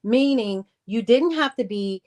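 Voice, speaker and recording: A woman telling a personal story in a casual livestream style, re-enacting conversations and repeating phrases for emphasis in a halting way. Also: fairly high pitch. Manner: animated re-enacting of conversations with quoted dialogue.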